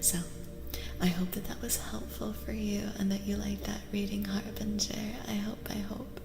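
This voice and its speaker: A woman giving a soft-spoken ASMR tarot reading as a streamer, often slipping into whispers, soothing and reassuring as she slowly repeats phrases. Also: medium pitch, little pitch movement, vocal fry. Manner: soothing, reassuring, slow repetitions. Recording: reverberant echo with music behind. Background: music.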